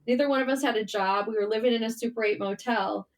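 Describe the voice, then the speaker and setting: A woman's voice: a little high, fast talker. A middle-aged American woman chatting informally as an interview guest, telling personal stories with emphatic repetition. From Michigan, United States. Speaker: podcast guest, writer. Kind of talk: conversational interview storytelling.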